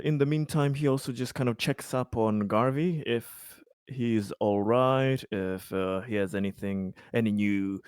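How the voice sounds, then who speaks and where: Mid-pitched man, casual gamer, dry sound. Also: darkish tone, clear, resonant tone, no room sound. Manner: piecemeal, informal phrasing. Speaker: tabletop game player. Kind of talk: casual description of own character's actions.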